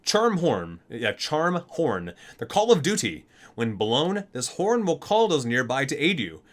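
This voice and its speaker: Guy doing an asmr read-aloud from a game guide, pitch moves around a lot, trips on words sometimes. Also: sing-song, measured pace. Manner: stumbles over words and corrects himself while reading. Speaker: host of an ASMR unboxing and reading video.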